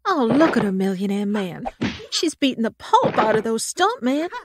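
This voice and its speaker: A woman's voice: on the high side, big pitch swings, moderate pace. An actress playing a breezy, teasing reformed female supervillain in a comedic audio drama. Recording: loud noise behind.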